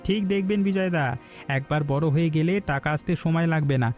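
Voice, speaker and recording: A man's voice: fairly high pitch, fairly quick, a little mellow, close to an everyday voice. A man playing an eager, ambitious village business partner in a cartoon story, persuasive and respectful. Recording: background music throughout.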